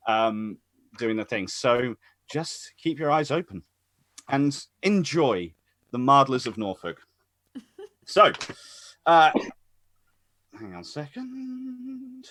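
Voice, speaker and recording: Chatty man with swooping pitch. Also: no echo. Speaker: host and announcer. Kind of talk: casual live-stream introduction. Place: online group call.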